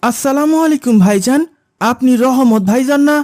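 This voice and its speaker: A woman's voice: high-pitched, normal speed, darkish tone, lightly acted. A woman voicing a friendly, respectful young village man in a cartoon, with a rural Bangladeshi accent. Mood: eager greeting. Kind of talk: cartoon voice acting.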